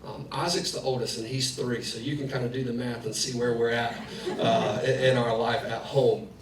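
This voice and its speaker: A man's voice: medium pitch, fairly even intonation, moderate pace. A man in his thirties, a pastor giving a conversational sermon full of personal family stories. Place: church sanctuary, preaching in the round. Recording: echoey hall, distant mic, faint noise.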